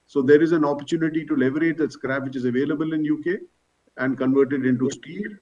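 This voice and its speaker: A man's voice: fairly high pitch, fairly even intonation, fast, darkish tone. A man, a company executive, answering analysts' questions on an earnings call, explaining things point by point. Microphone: conference call. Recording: distant, slightly dull conference call audio.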